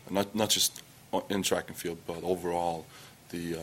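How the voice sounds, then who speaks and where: A young man from Estonia with an Estonian accent, answering press questions in halting English full of fillers and restarts. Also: level tone, fairly bright tone, a little hiss or hum. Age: young adult. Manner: halting, many fillers and restarts, low-key. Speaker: athlete at a press conference.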